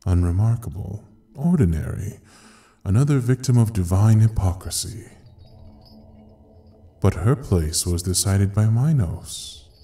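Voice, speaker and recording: A man playing a sinister, grandiose ruler of hell in an audio drama, mocking and seductive, with theatrical, drawn-out pauses. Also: deep voice, dark tone, exaggerated voice. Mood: storytelling, sly. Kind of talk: dramatic audio roleplay acting.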